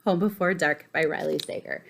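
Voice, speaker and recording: A woman talking casually to camera about books as a vlogger, enthusiastic and emphatic, often pausing mid-sentence. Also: low voice, creaky, a bit bright. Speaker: book vlogger presenting a reading list.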